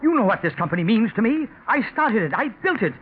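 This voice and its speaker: An actor playing an aging, cheated company president, wounded and bitter, in a 1940s radio drama. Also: male voice, high-pitched, very animated, fast. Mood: wounded, pleading. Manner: wounded, pleading turning to menacing. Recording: dull, noisy 1940s radio recording.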